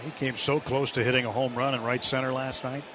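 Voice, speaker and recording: A male baseball color commentator with a mid-range voice, speaking casually and explaining strategy with 'you know' and small restarts. He sounds like a radio booth partner. Loud ballpark crowd noise runs underneath. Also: mid-range voice, level tone, a little fast. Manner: conversational, explains with fillers.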